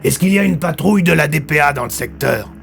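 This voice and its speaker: A man playing a down-to-earth police commissioner in an audio fiction, gravelly, matter-of-fact and colloquial but courteous. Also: fairly high pitch, lively intonation, quick pace, fairly bright tone, soft background music, heavily acted. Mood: practical, calm.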